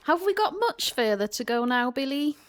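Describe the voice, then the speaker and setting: A woman's voice: high-pitched, sing-song, moderate pace. An actress playing a curious young woman visitor who asks eager questions in a community radio play. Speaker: listener character.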